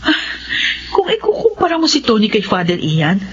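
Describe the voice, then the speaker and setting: A woman's voice: on the high side, wildly swooping pitch, moderate pace. An actress playing a stubborn, devout, defiant Filipino wife and mother in a radio drama. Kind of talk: radio horror drama acting. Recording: dull radio sound, faint noise.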